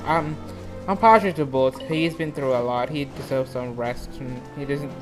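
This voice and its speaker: High-voiced man rambling over game music. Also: moderate pace. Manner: excitable, rambling, informal. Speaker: video game reaction host. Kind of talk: casual reaction commentary.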